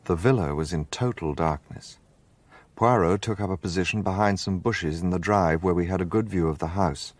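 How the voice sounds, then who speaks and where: Low-voiced, earnest gentleman companion. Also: moderate pace, no echo. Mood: measured, suspenseful. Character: earnest gentleman narrator, the detective's loyal, puzzled companion. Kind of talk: radio drama narration and acting.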